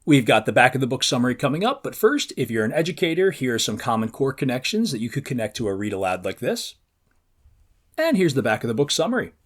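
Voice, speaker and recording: A man's voice: medium pitch, very animated, fast. A man presenting a book preview for young readers, reading aloud expressively and drawing out words for dramatic emphasis. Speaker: host of a children's book preview show.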